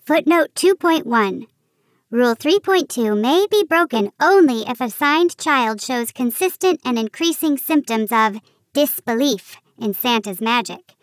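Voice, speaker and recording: A woman's voice: sits high, animated, a little slow, no room sound, voice pushed up, poor audio. A woman voicing a nervous, rule-bound male Christmas elf who speaks in a fussy, trembling way.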